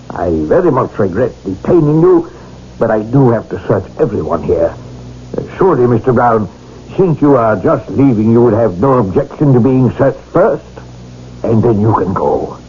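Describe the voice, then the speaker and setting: A man's voice: mid-range voice, big pitch swings, normal speed, mellow, natural voice. An actor playing a polite, apologetic innkeeper in a 1970s radio drama, obsequious and fussy about his establishment's honor. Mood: polite insistence. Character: deferential, fussy male innkeeper guarding his inn's honor. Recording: muffled, noisy old radio recording.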